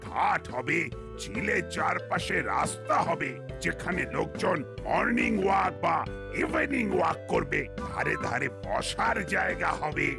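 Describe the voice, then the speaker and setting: Creaky, blustering cartoon police inspector. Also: male voice, on the high side, sing-song, a little slow, full character voice. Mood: officious. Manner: stammers and repeats words when flustered. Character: blustering, cowardly, pompous male village police inspector. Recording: loud background music.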